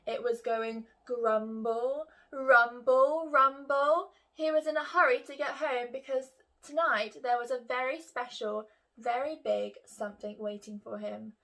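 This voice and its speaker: A woman's voice: fairly high pitch, steady tone, unhurried, a bit bright. A woman reading a picture book aloud to children like a teacher, expressively and with questions to her young listeners.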